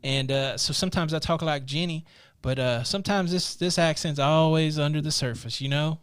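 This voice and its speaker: A man's voice: fairly high pitch, moderate pace, fairly bright tone, clean tone, no echo. A middle-aged man from the American Midwest co-hosting a live stream, chatting casually and reading viewer comments aloud into a studio mic. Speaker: co-host. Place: small studio room with a purple backdrop. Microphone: studio mic on a boom arm.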